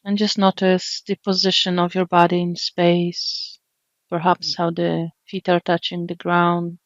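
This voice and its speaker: A woman leading an unscripted guided body scan meditation, speaking gently and soothingly with long pauses. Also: on the low side, completely flat delivery, no room sound, muffled recording. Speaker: meditation teacher.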